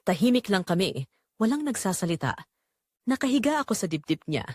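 A woman reads a first-person confessional drama. Her mid-pitched voice moves with lively intonation. The sound is dry, with very little room around it. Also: a little fast, slightly rough, dry recording. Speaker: narrator of an audio drama, reading as the wife. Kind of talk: scripted first-person confessional story narration.

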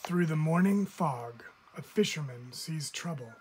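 A man reading a children's picture book aloud like a teacher, with expressive emphasis. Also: a little high, measured pace, slight background noise, rough recording. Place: indoors, book filmed from above.

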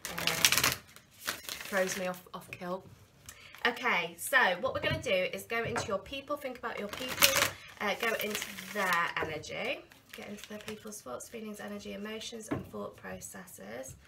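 A woman's voice: mid-range voice, moderate pace, creaky, heavy background noise. A woman in her thirties giving a chatty tarot reading to camera in a small candlelit room, battling a cold. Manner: chatty, animated hand gestures, slips into quoted inner voices. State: has a cold. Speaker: tarot reader. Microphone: camera in front at desk distance.

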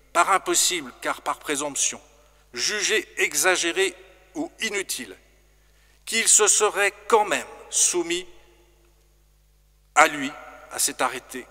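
A middle-aged man preaching a formal sermon as a priest, slow and measured, into a stand microphone in a large stone church. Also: on the high side, bright, forceful tone. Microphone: stand microphone in front. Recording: long church reverb.

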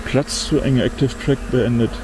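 A man's voice: mid-range voice, fairly even intonation, moderate pace. Man muttering through a drone test outdoors. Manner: muttering, self-talking, mildly disappointed remarks. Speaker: drone vlogger. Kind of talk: casual running commentary while testing a drone. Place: outdoors in a forest. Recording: outdoor recording with audible insect noise. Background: insects buzzing and faint outdoor ambience.

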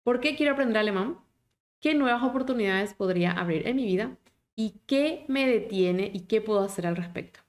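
A woman, a German teacher in a live online class who talks with lively encouragement and asks her viewers many questions. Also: medium pitch, brisk. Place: small home office indoors.

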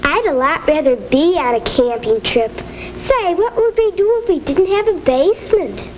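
An inquisitive, doubting American little girl in a 1950s educational film. Her voice is extremely high and swings around a lot, on a slightly noisy old soundtrack. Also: lively intonation, unhurried, darkish tone. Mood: reluctant, doubting.

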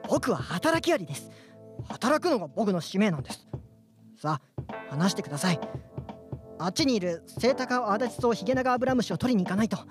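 A man's voice: high-pitched, expressive, quick pace, pauses often. A man playing an earnest, prim young worker ant who protests indignantly in a radio drama. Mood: flustered, protesting. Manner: indignant, polite, dutiful. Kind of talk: live radio drama acting. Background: music.

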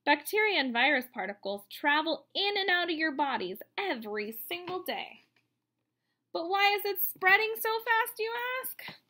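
Woman, thirties, high bright voice, expressive read-aloud for kids. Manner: expressive, explanatory, speaking simply to children. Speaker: teacher reading a children's book aloud. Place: indoors, room at home.

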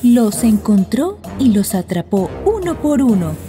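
A woman's voice: a little high, big pitch swings, unhurried, long reverb tail, music in the background. A woman narrating a children's bedtime fairy tale from a script.

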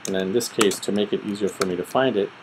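A middle-aged man giving an informal, step-by-step screen tutorial, thinking aloud methodically with pauses. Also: fairly low pitch, steady tone, darkish tone, slight background noise, low-quality recording. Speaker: tutorial presenter. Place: small room at home, indoors.

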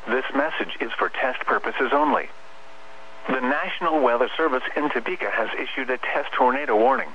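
A man reading a scripted emergency alert as a weather radio announcer, formal, measured and impersonal, over the radio. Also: medium pitch, muffled recording. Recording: noisy weather radio broadcast.

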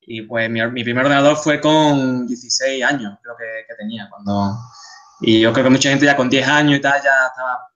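A man in his thirties with an Andalusian Spanish accent, giving a relaxed, chatty talk over slides, with frequent restarts and fillers. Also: medium pitch, moderate pace, muffled recording. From Seville, Spain. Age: 30s. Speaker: guest speaker, game artist.

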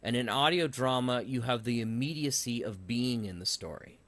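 A man's voice: mid-range voice, moderate pace, a bit bright. Male interviewer, mid-range voice, conversational podcast host style. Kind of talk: casual interview chat.

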